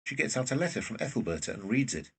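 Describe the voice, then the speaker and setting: A man with a very husky voice reading scripted present-tense narration for a drama, recorded away from the microphone. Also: medium pitch, sing-song, fast, fairly bright tone, distant mic.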